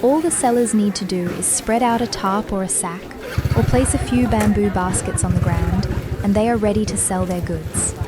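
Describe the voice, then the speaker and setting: A young woman from Vietnam, narrating a travel vlog about her home village in a calm, measured, explanatory voice-over. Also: medium pitch, very noisy. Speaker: vlogger. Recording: voice-over with clear background music. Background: background music.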